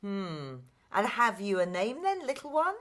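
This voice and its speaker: A woman playing a sweet, cheerful, kindly young heroine in a children's radio play, with a gentle, friendly manner. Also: mid-range voice, big pitch swings, unhurried.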